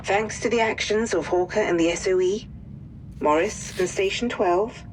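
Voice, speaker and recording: A woman's voice: mid-range voice, normal speed, darkish tone, a little hiss or hum, natural voice, poor audio. A woman acting a formal military intelligence officer, narrating an official debriefing for a war game.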